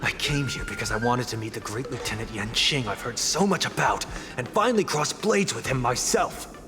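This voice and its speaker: A man's voice: mid-range voice, lively intonation, normal speed, close to an everyday voice. A man voicing a proud veteran swordsman knight in a game cutscene, speaking formally and sternly. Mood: scornful. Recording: reverberant mix with game music.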